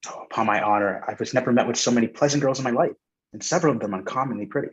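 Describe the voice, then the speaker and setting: An actor playing an amiable, smitten young gentleman, friendly and enthusiastic, in a period staged reading. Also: medium pitch, racing, lo-fi sound, close to an everyday voice.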